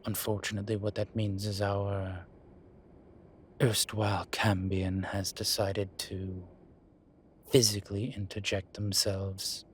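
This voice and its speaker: A man playing a suave, vain incubus demon professor in an audio roleplay, speaking in a formal, measured, wryly teasing way. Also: fairly low pitch, little pitch movement, a little hiss or hum. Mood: grave.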